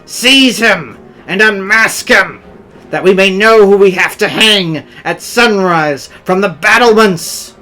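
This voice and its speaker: A man voicing a bold, robust prince in a Gothic storybook, hoarse, imperious and enraged. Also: high-pitched, takes it slowly, pushed and bright, pitched above the performer's own voice, full character voice. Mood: enraged, commanding. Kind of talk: dramatic Gothic storybook reading. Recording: music under the voice.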